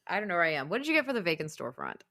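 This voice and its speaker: A woman's voice: fairly low pitch, racing, dry recording, clean studio sound. A woman in her thirties with a Southern accent, co-hosting a podcast in chatty, casual talk. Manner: chatty, animated, swears casually. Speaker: podcast co-host. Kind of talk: casual chat.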